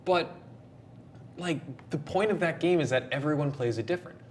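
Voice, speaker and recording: A man's voice: on the high side, moderate pace. A man in a casual group discussion, talking in an opinionated, emphatic way. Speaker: show panelist. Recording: noticeable background room noise.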